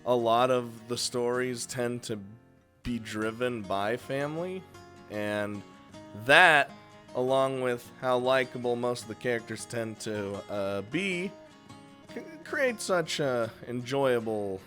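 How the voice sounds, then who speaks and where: A man's voice: mid-range voice, takes it slowly, fairly bright tone, faint music behind. A man hosting a casual, unscripted countdown of games, chatty and crude, swearing freely. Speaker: video host and game reviewer.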